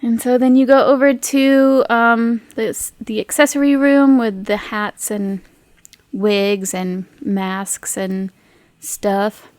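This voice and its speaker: A woman's voice: a little high, fairly even intonation, slow, darkish tone, dry recording. A woman casually narrating a tabletop roleplay game as the game master, in a conversational, improvised way.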